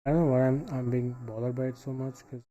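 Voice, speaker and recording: A man from Bangladesh, thinking aloud in casual live coding commentary, halting and trailing off into quiet asides. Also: mid-range voice, level tone, dull audio. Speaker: live streamer building software.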